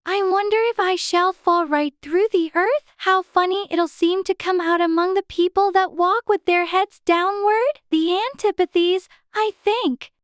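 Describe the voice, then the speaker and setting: A woman's voice: very high voice, fairly even intonation, measured pace, few pauses, dry recording, studio quality. A woman playing a curious, chatty little girl who muses aloud in an earnest, prim way for an audiobook. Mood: musing, curious. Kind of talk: dramatized audiobook character acting.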